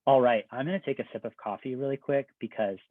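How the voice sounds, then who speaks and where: A man working as an admissions counselor, giving a conversational, step-by-step presentation with everyday examples. His voice is getting a little raspy. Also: mid-range voice, fast talker, dry recording. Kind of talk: informational presentation. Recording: dry, phone-like video call audio.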